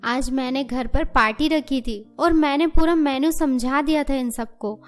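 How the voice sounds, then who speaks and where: A woman's voice: high-pitched, normal speed, soft background music, close to an everyday voice. A woman playing a spoiled, haughty young rich businessman's daughter in a cartoon story, mixing English into her Hindi. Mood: angry, contemptuous. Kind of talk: animated moral story dialogue.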